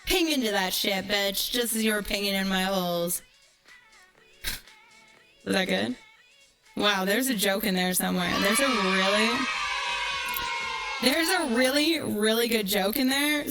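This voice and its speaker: A woman livestreamer delivering a tongue-in-cheek monologue to her viewers in a teasing, drawn-out, dramatic way, often dropping toward a whisper. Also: medium pitch, a bit bright, low-quality recording.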